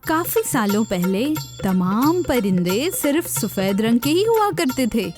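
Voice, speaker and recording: A woman's voice: on the high side, very animated, over music. A woman reading a scripted children's story expressively, speaking directly to young listeners.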